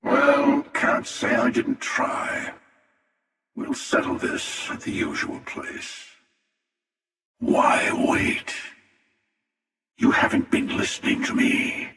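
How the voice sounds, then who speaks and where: An actor playing a menacing, cynical spacefaring hunter in a video game, with a taunting, coolly superior manner and a processed helmet effect. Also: male voice, extremely high pitch, huge pitch swings, takes it slowly, vocal fry, long pauses, sharp tone, heavily acted. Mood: dismissive, superior. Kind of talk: video game character dialogue. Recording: heavily reverberant, distant, processed voice.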